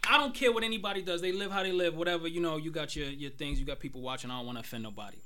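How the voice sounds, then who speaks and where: Blunt, high-voiced young man. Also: very fast, pushed and bright, clear, resonant tone. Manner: blunt, opinionated, repeats himself. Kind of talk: casual group chat.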